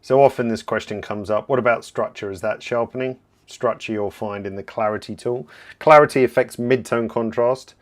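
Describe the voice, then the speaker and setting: A man hosting a live photo-editing software tutorial, explaining steps as he works and answering viewer questions, slightly hoarse and clearing his throat now and then. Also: medium pitch, moderate pace. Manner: relaxed, explanatory, thinking aloud while working. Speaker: software trainer hosting a livestream.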